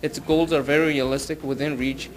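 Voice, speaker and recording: A man from Afghanistan, a former refugee student, speaking earnestly with some halting restarts. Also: on the high side, little pitch movement. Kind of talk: interview testimonial.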